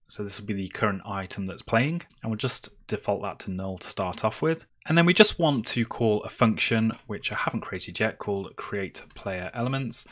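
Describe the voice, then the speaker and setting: A man's voice: lowish voice, fairly bright tone. A man presenting a screencast tutorial, explaining step by step in a semi-improvised way as he works. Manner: step-by-step, explaining while typing.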